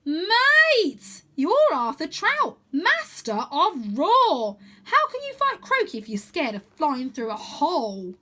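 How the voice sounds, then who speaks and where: An excitable boy adventurer from a children's storybook, acted out by a woman reader. He is high-pitched and exclamatory, with a matey, goading way of talking and a daring streak. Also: slow, few pauses, heavily acted. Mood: exasperated.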